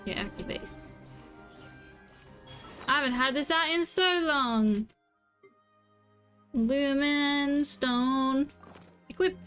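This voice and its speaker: A woman's voice: sits high, slow pace, long pauses, a bit bright, faint music behind. A woman game streamer giving casual, exclamatory reactions while she plays. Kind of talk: casual gameplay reaction commentary.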